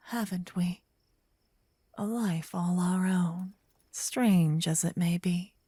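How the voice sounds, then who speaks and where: A woman playing a gentle, possessive, lovestruck vampire in a soft, intimate, breathy ASMR whisper, close to the ear. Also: medium pitch, dry recording, full character voice. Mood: loving, confessional. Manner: intimate, slow, teasing. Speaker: ASMR roleplay performer. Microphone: close binaural ear-to-ear microphone.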